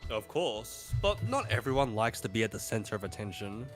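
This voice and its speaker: A matter-of-fact male schoolteacher, as a man reads him out in visual novel dialogue. The pitch moves around in a lively way, and music plays faintly underneath. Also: brisk.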